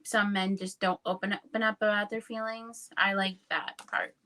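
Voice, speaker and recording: Woman, bright clear-cut tone, medium pitch, casual book discussion, earnest informal delivery. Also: level tone, moderate pace.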